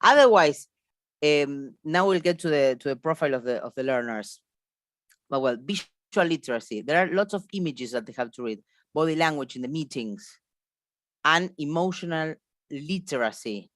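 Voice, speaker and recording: A woman's voice: sits low, lively intonation, moderate pace, no echo. Woman, Argentine Spanish accent, native Spanish speaker, teacher trainer, emphatic spontaneous lecture, repeated phrases, dry close sound. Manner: emphatic, repeats phrases, frequent check questions. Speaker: English teacher trainer, seminar presenter. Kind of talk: online seminar lecture, spontaneous.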